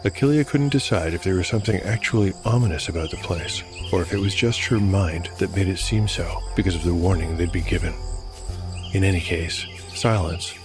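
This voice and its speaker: A man with a low voice delivers scripted narration as the host of a fantasy audio drama. Music plays clearly behind him, along with chirping insects.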